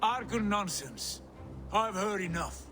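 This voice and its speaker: Arrogant Viking prince, video game drama: lighter-pitched, declamatory, embittered visionary, strong music underneath. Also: male voice, animated, takes it slowly. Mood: bitter anger. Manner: haughty, declamatory. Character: proud, bitter, visionary Viking prince scorning his father. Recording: music behind the voice.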